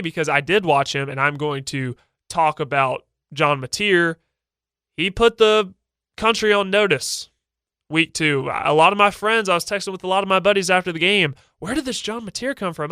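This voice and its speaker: A young man with a stuffy, slightly nasal voice from a head cold hosts his own sports radio show. He sounds confident and opinionated, with a fairly high, bright, smooth tone. The studio sound is dry and close. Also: dry recording. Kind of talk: solo sports talk show.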